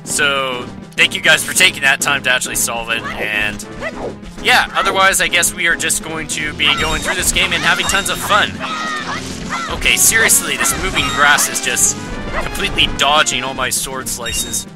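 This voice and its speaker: A man's voice: a little high, moderate pace. A man giving playful, sarcastic commentary while playing a video game. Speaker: let's play host. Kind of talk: jokey gameplay commentary. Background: game music.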